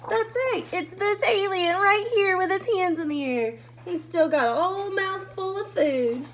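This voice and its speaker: A woman's voice: extremely high pitch, a little slow, a bit bright. A woman reading a picture book aloud for children as a storyteller, expressively, talking directly to her young listeners. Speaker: read-aloud host, storyteller.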